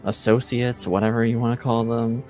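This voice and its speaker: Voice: mid-range voice, level tone, moderate pace, darkish tone, close to an everyday voice. A game master playing a polite, awkward Templar guard in a tabletop game, hesitant and understated. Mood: hesitant concern. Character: polite, awkward armored male Templar guard, wary boyfriend. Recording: music playing behind the voice.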